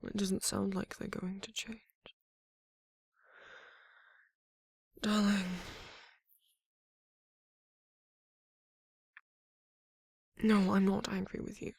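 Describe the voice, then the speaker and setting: A performer voicing a tender, courtly vampire lover in an ASMR romance roleplay, breathy and often whispering, with slow, soothing, formal speech. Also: medium pitch, flat delivery, no echo, studio quality. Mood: protective, indignant.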